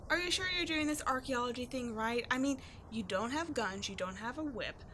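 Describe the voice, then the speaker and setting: A woman playing a cocky, sarcastic tomboy adventurer in an audio roleplay, slangy and expressive in her exasperation. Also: fairly high pitch, normal speed, slight background noise. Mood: teasing. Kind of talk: scripted ASMR-style audio roleplay, one-sided dialogue.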